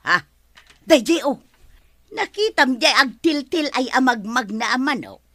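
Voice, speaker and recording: A woman's voice: high-pitched, big pitch swings, a little slow, full character voice. A woman with an Ilocano Filipino accent playing a nagging, complaining village wife in a radio comedy drama. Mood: urging. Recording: loud music bed behind the dialogue. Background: music.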